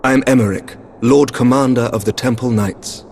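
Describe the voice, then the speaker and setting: A man's voice: medium pitch, steady tone, moderate pace, vocal fry, darkish tone, a little hiss or hum, natural voice. A man voicing a noble male knight commander in a game cutscene, speaking in a formal, courtly, old-fashioned way. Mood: gracious. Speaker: game character. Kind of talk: video game cutscene dialogue.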